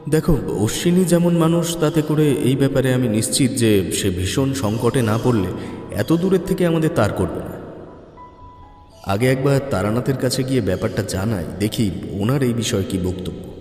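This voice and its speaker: A man narrating a horror story in the first person, expressive and measured in his storytelling. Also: medium pitch. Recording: echoey reverb, music underneath.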